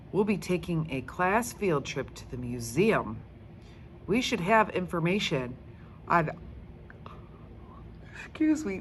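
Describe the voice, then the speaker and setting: An earnest schoolteacher read by a woman addresses a class in a children's storybook. The delivery is instructive and encouraging, slow and very melodic. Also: sits low, a bit bright, slight background noise, exaggerated voice. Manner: instructive, emphatic.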